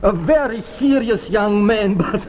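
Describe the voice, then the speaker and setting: An actor playing a weary, solemn elderly scientist of a doomed future in a 1950s science fiction drama, speaking with theatrical deliberation. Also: male voice, high voice, lively intonation, a little slow. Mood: musing, hopeful. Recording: muffled vintage 1950s broadcast, reverberant, music behind.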